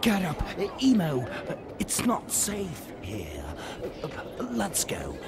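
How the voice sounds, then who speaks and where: An actor voicing a paranoid old man in an animated film, stammering and urgent as he guides a youngster through danger. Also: high-pitched, extremely slow, heavy background noise, heavily acted. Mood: urgent alarm. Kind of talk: animated short film voice acting.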